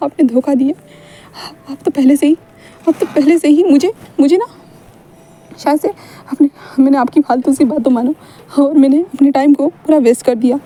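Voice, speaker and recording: A woman's voice: high-pitched, normal speed, dark tone, natural voice. A woman voicing a young boy who stammers and pleads with an older man for his love. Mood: betrayed. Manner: pleading, stammering, repeats himself.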